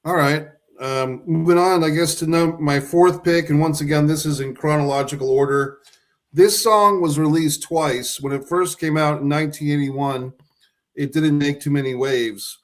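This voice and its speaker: A man's voice: a little high, steady tone, normal speed. A man co-hosting a podcast, talking through his favorite songs in a loose, rambling way with frequent restarts. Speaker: podcast co-host. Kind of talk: casual chat about favorite songs.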